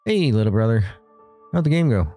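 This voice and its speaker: A man's voice: mid-range voice, big pitch swings, fairly quick, warm tone, soft background music. A man playing an offhand, self-assured older brother in an audio drama. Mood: aloof. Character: Jackson, aloof older brother leaving for college.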